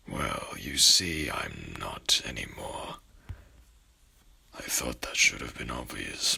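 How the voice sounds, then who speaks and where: A man playing a courtly, haughty headless horseman in a whispered ASMR roleplay, speaking with pompous, archaic formality in a breathy, gravelly voice. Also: a bass voice, pushed and bright, full character voice. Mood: courteous, haughty.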